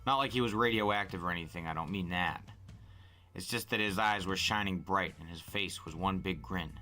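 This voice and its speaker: A man's voice: deep voice, normal speed, sharp tone. A man reading a novel aloud in the first person as a storyteller.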